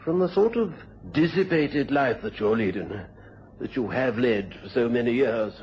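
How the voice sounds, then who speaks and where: Male actor as country squire, genteel, hesitant, stern, very varied pitch, radio drama, noisy old recording. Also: expressive, normal speed, dull audio, natural voice. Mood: stern, uneasy. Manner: hesitant, courteous, full of polite hems.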